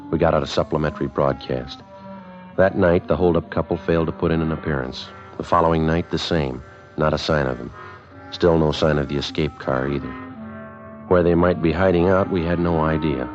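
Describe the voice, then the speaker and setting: An American actor playing a terse, businesslike police sergeant in a 1950s radio drama, clipped and matter-of-fact. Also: male voice, a bass voice. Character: terse, businesslike male police sergeant, narrating his case. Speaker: detective and narrator. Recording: dull vintage 1950s radio broadcast.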